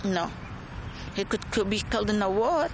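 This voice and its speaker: A middle-aged woman speaks broken, halting English, repeating her words. Her voice sits at an ordinary pitch for a woman. Steady noise hums behind her. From Palestinian, raised in Iraq. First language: Arabic. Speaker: interviewee, mother. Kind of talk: emotional personal testimony. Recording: noisy background ambience.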